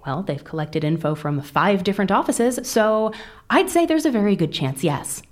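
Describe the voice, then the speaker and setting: A woman playing a sharp, impatient intelligence agent in an audio drama, speaking in a blunt briefing manner. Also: medium pitch, lively intonation, normal speed, lightly acted. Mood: matter-of-fact.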